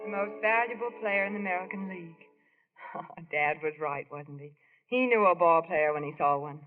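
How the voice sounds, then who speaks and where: Actress as devoted young wife, tender and earnest, 1940s radio play, narrow muffled vintage sound. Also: female voice, fairly low pitch, normal speed, faint music behind, close to an everyday voice. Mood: fond, wistful. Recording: muffled old radio recording.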